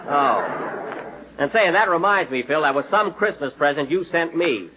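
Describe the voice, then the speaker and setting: A man's voice: a little high, expressive, normal speed, breathy edge, some chatter behind. A man hosting a 1930s radio comedy show, trading put-upon, indignant banter with his cast. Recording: tinny old broadcast with loud audience laughter and hiss.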